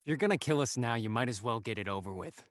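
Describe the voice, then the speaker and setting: An actor playing a resigned, bitter captive in an audio thriller, with weary defiance. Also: male voice, mid-range voice, lively intonation, quick pace, dry recording, studio quality, lightly acted. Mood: resigned, defiant.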